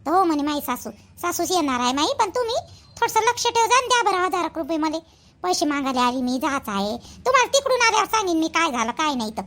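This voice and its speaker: A woman's voice: very high voice, very animated, quick pace, fairly bright tone, full character voice. A woman voicing a busy, talkative village mother in a cartoon, rambling and repeating herself in colloquial Vidarbha Marathi. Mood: explaining, hurried. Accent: Varhadi Marathi, Vidarbha. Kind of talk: rural family cartoon dialogue.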